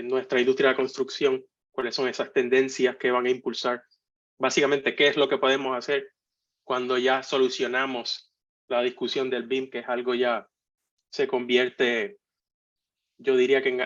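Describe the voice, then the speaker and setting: A Puerto Rican man gives a talk over a video call, his voice pitched in an everyday middle range. He speaks with a joking ease, stumbling through fillers and restarts. The audio is slightly muffled and dull. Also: a bit bright. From Puerto Rico. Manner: jocular, hesitant with many fillers and restarts. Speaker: guest speaker. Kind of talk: webinar talk.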